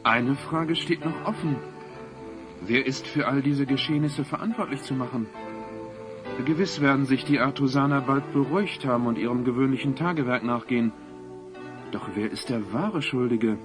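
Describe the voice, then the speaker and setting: A man's voice: mid-range voice, moderate pace, over music, natural voice. A man playing a self-important man of authority in a radio play, formal, stately and declamatory. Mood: formal, pondering. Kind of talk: German radio play acting.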